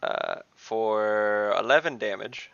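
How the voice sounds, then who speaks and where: A man's voice: on the low side, slow pace, gravelly, fairly bright tone, clean tone. A man chatting casually as a player in an online tabletop game, on slightly dull, dry audio.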